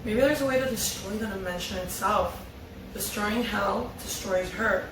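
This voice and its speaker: A woman's voice: medium pitch, moderate pace, background noise. A woman reading character lines from a drama script in an amateur table read. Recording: echoey room, distant mic, music behind.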